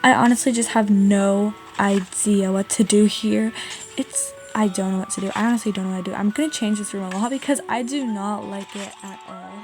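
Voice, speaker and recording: A woman's voice: medium pitch, fairly even intonation, a little fast, darkish tone. A woman from Canada giving a casual, chatty game voice-over in an informal, indecisive way. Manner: informal, indecisive, frequent "like" and "honestly". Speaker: video creator narrating a game build. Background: background music.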